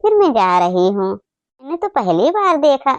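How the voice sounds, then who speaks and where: A woman plays a cartoon aunt from the family next door, homely and quick to take offence. Her chatty voice is high and closely recorded without echo. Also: sing-song, dull audio. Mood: offended. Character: friendly neighbouring aunt, easily offended.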